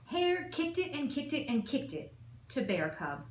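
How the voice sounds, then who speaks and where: A woman's voice: sits high, sing-song, a little slow. A woman narrating a children's storybook with emphatic, rhythmic storytelling. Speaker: assistant principal reading aloud. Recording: echoey room with faint noise.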